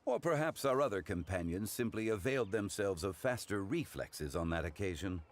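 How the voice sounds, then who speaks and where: A man playing a composed, learned and courteous gentleman in a video game, speaking in a formal, measured, eloquent way. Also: lowish voice, animated, a little hiss or hum, natural voice. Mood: calm, reflective. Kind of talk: video game character dialogue.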